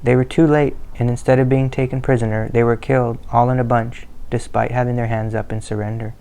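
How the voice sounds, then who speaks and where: A man reads a family history aloud in a steady, measured way. His voice stays flat and even and has a gravelly edge. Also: medium pitch, low-quality recording. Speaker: narrator. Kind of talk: scripted narration of a family history.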